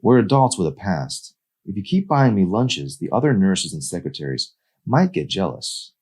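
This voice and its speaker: A man's voice: low-pitched, big pitch swings, moderate pace, darkish tone, husky, pitched above the performer's own voice, full character voice. A man voicing a guarded, unhappy married woman in a novel reading, with a restrained but pointed delivery. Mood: wary.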